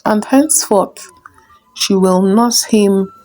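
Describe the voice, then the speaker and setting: A woman narrating a folktale in a measured, simple storybook manner. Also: medium pitch, warm tone, soft background music.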